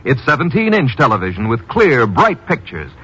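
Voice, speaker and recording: A man's voice: medium pitch, wildly swooping pitch, moderate pace, a bit bright, husky. A man announcing a 1950s radio advertisement with an emphatic, polished sales delivery. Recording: muffled old radio broadcast.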